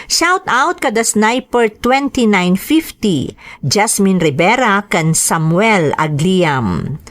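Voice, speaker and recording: A woman's voice: lowish voice, dry recording. A woman with a Filipino accent hosting a story program, reading scripted greetings and narration in an announcing style. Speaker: radio drama host reading greetings and letters.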